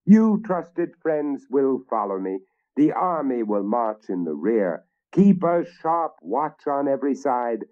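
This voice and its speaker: An ambitious invader king from an old storybook, issuing commands with grandiose pride, as a man reads him. The voice is pitched higher than the deep narration, with a slow, wide-ranging delivery. The recording sounds dull and lo-fi. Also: sing-song, a little mellow. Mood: commanding.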